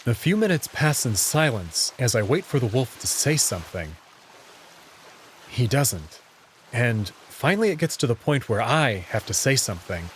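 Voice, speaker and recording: A man's voice: medium pitch, very animated, moderate pace, a little hiss or hum. A man giving a dramatic first-person narration of a visual novel story. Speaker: narrator and reader.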